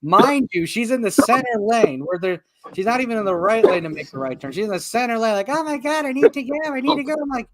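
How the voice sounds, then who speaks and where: Animated male radio chat host. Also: very high voice, fast talker, hardly any pauses. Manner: animated storyteller with frequent fillers.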